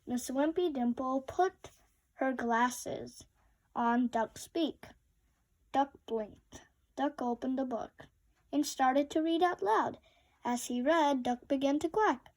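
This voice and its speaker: Female storybook reader, high pitch, unhurried, expressive delivery for young children. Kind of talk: children's picture-book read-aloud.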